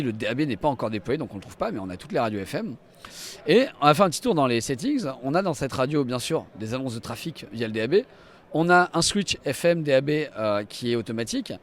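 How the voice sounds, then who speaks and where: A man giving an enthusiastic, explanatory live product demonstration as a company representative at a trade show. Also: on the high side. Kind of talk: live walk-through product demonstration to camera. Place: trade show stand and inside a car.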